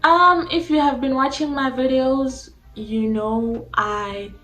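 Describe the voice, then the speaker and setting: Young woman, South African accent, Xhosa-Zulu mother tongue, informal vlog chat at a slow pace, music behind. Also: sits high, steady tone, sharp tone. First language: a mixture of Xhosa and Zulu. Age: young adult. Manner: informal, uses "like" constantly. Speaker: YouTuber answering personal questions. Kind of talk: casual chatty question-and-answer vlog. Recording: echoey room with faint music.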